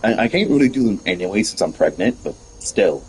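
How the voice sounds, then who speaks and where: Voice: deep voice, sing-song, brisk, a little mellow, slight background noise, poor audio. A streamer chatting casually while playing, talking to themself and a friend. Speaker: gaming streamer. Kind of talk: casual gameplay commentary.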